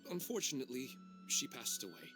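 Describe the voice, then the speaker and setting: An actor playing a gentle, polite and slightly hesitant townsman in an anime game. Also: medium pitch, sing-song, fairly quick, over music, natural voice. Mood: wistful. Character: gentle, polite widowed townsman seeking advice.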